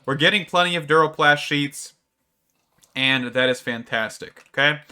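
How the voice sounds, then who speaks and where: A man's voice: fairly high pitch, moderate pace, sharp tone, smooth. A man giving casual let's-play commentary, rambling and thinking aloud with many fillers, his voice a little strained. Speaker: gaming YouTuber.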